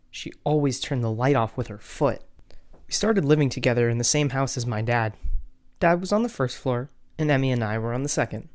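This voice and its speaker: A man's voice: medium pitch, darkish tone, natural voice. A man voicing a betrayed young man who tells his family drama wryly, in first-person cartoon story narration. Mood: reminiscing.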